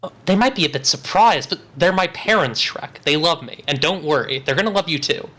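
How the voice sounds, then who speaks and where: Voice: medium pitch, expressive, a little fast, a bit bright. A reader voicing a hopeful newlywed princess at a table read, coaxing and persuasive. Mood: reassuring. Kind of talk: table read of a film script.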